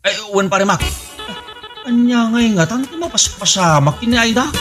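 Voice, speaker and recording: A man's voice: very high voice, animated, moderate pace, over music. A man voicing an exasperated husband who scolds his wife. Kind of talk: comedy radio drama acting.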